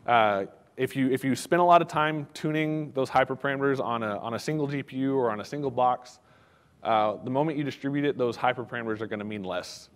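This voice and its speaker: A man giving a technical conference talk in a casual, halting way, with many fillers and restarts. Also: mid-range voice, big-room sound. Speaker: conference speaker. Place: conference hall.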